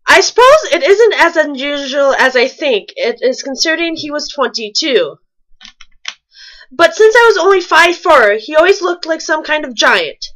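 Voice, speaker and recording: A woman's voice: high voice, lively intonation, moderate pace, fairly bright tone, poor audio. A woman reading a story aloud and commenting on it with wry, nitpicking asides. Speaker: fan fiction reader and commentator.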